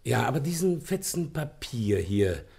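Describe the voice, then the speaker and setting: A police inspector in a German detective radio play. His voice is gravelly and a little husky, at a medium pitch. He reports in a polite, factual, official manner. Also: very animated, measured pace, a little mellow, heavily acted. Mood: matter-of-fact. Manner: deferential, matter-of-fact.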